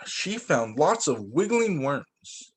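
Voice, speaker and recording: A man working as a teacher, reading children's storybooks aloud in an expressive way. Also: fairly high pitch, takes it slowly, slightly rough. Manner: expressive, acts out dialogue for young children.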